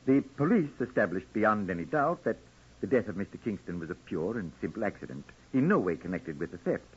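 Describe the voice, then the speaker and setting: An actor playing a kindly, retired old judge in 1940s radio drama style, courteous and deliberate, with hesitant phrasing. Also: male voice, on the low side, big pitch swings, breathy edge, exaggerated voice. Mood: measured, explanatory. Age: older. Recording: dull old radio recording.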